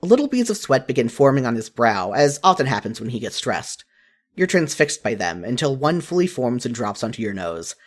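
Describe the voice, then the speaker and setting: A narrator reading a second-person story aloud in an expressive style, as in a fan fiction audiobook. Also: medium pitch, a little fast.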